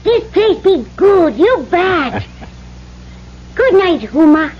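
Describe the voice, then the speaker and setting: A woman playing a gentle, devoted young Polynesian bride in an old-time radio drama, speaking simple broken English mixed with island words. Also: extremely high pitch, big pitch swings, drawn-out words, heavily acted. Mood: earnest, gentle. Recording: muffled old radio broadcast, faint hum.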